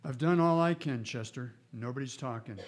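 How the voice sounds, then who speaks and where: An actor playing a stern, laconic frontier marshal in a western radio drama, speaking in terse, folksy, matter-of-fact lines. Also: male voice, mid-range voice, normal speed, lightly acted. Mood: weary, wry.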